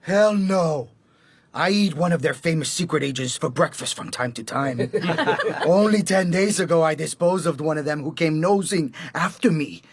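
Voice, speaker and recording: Husky, swaggering American gangster boss. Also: male voice, a little high, animated, moderate pace. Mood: gloating. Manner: brash, mocking, commanding. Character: ruthless swaggering American assassin chairing a gangster cartel. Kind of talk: radio drama acting.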